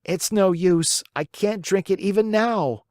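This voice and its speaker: A storyteller voicing a whiny, stubborn wooden marionette boy in a high, strained, whining voice. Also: measured pace, a little mellow, no echo, studio quality, natural voice. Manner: bargaining, then wailing and pleading.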